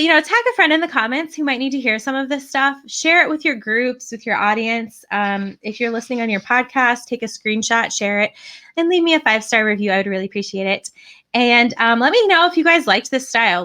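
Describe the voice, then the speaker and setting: A young woman talks straight to her listeners in a loose, chatty live-podcast style. She is encouraging and full of fillers and asides. Her voice sounds worn and a little hoarse after a long day of talking. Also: medium pitch, pushed and bright. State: voice tired and starting to go hoarse. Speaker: podcast host and direct sales coach. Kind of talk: casual live video podcast advice.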